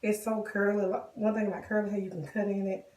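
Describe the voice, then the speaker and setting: A woman with a cold and a hoarse voice, chatting casually to viewers as she walks them through a wig styling tutorial. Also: medium pitch, little pitch movement, fast talker, a little mellow, far from the mic. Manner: chatty, addresses viewers directly. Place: bathroom. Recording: echoey bathroom, voice off-mic.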